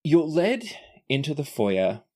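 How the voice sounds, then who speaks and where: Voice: medium pitch, big pitch swings, slow pace, a little mellow. A game master and host narrating a tabletop roleplaying podcast in a descriptive way, sometimes self-correcting.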